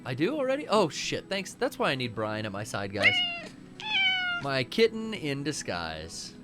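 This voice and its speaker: Lively man swearing at a video game. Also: medium pitch, a little slow, over loud music. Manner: animated, swearing, trash-talks game enemies. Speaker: co-host playing a video game. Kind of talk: casual livestream chat and gameplay.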